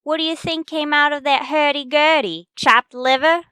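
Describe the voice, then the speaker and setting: A woman playing a brash, sassy tavern owner's daughter in an old-time radio comedy, firing back quick comebacks. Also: sits high, steady tone, bright, forceful tone, no echo, studio quality.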